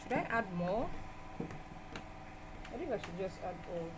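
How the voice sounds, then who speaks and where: A woman's voice: medium pitch, sing-song, measured pace. A young Nigerian woman chats casually and thinks aloud as she films herself cooking on a handheld phone in her home kitchen. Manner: chatty, exclaiming, thinking aloud. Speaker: food vlogger. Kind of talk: casual cooking vlog chat. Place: small home kitchen, indoors. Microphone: handheld phone behind the camera. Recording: noisy phone recording with kitchen cooking sounds. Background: clear cooking noise.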